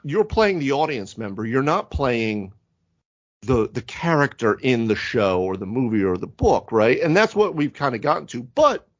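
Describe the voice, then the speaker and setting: Male podcast host, mid pitch, lively swooping intonation, rambling casual chat, slightly dull audio. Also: normal speed. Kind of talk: casual panel chat about tabletop games.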